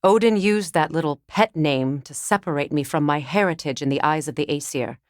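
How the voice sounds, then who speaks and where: An actress playing a proud, mature Norse goddess in a video game, measured and formal in speech, wry and guarded. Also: female voice, low voice, no echo, clean studio sound, close to an everyday voice. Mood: bitter, guarded. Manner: measured, dignified, formal phrasing. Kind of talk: dramatic game dialogue and storytelling.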